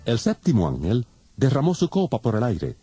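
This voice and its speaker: A man's voice: medium pitch, wildly swooping pitch, normal speed. Dramatic male narrator with sweeping intonation. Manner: solemn, dramatic. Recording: loud music under the voice.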